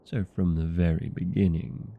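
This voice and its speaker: A man playing a vain, playful, chatty old vampire in a comedic audio roleplay, with a gravelly, husky voice and theatrical, courteous manner. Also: sits low, little pitch movement, normal speed, narrow, tinny audio. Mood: earnest, chatty.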